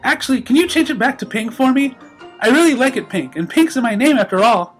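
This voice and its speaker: A man performs a hyperactive young mare from a cartoon. The voice is pushed very high and bounces around in pitch. It chatters in a naive, childlike gush, with soft music behind. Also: expressive, a little fast, slightly rough, full character voice. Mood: cheerful. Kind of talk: fan fiction story reading.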